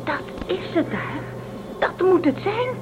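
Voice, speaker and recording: A woman's voice: extremely high pitch, sing-song, slow, full character voice. A woman playing a young pregnant crew member in a radio play, sounding amazed. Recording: tinny noisy old radio sound.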